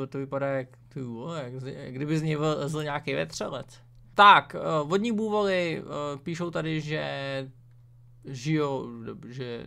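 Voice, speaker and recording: A man's voice: medium pitch, vocal fry, fairly bright tone. A man casually talking through a web page about a farming game, rambling with many fillers and slang. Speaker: gaming video creator. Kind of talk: casual screen-reading commentary.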